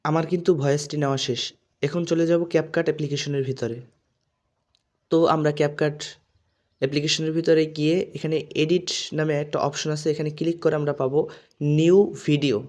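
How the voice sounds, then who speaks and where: A man's voice: fairly high pitch, level tone, brisk, a little mellow. A man hosting a tutorial, explaining app steps one by one. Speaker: tutorial host. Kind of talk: step-by-step app tutorial narration.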